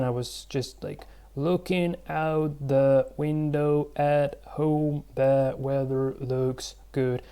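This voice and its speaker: A man's voice: a little high, dark tone. A young man, a native Russian speaker with a Russian accent, explains his language-learning method in unscripted talk, with many fillers and restarts.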